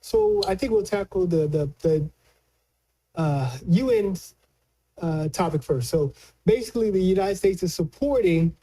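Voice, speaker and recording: A man giving conversational political commentary as a news analyst, colloquial and halting, often repeating words as he explains. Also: high voice, expressive, mellow, rough recording.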